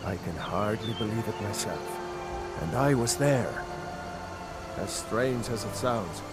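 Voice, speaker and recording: An actor playing a weary, loyal rebel soldier on the run in a fantasy video game, speaking with earnest gravity. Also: male voice, lowish voice, lively intonation, slow pace, loud music behind the voice. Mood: grave.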